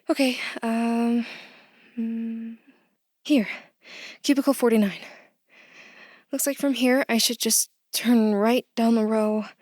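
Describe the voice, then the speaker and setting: A woman's voice: fairly high pitch, a little mellow, dry recording, clean studio sound. A woman playing a nervous new office worker, hesitant and muttering to herself, in a naturalistic audio drama.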